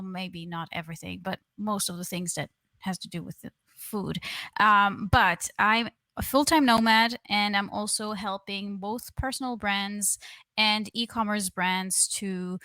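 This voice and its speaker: A woman's voice: mid-range voice, bright, forceful tone, no echo. A young adult woman from Israel speaks as an interview guest over a remote call. Her voice sits in a middle range, and she talks in a chatty, candid way full of small fillers. The recording is dry and close, with no room sound. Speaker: guest, email strategist. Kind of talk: conversational interview.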